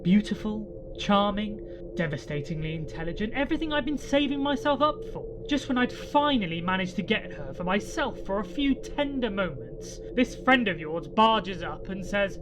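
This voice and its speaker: A woman's voice: medium pitch, expressive, normal speed, natural voice. A woman playing an aggrieved, ordinary human traveller, peevish and insistent, in an amateur sci-fi audio comedy. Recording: background noise behind the voice.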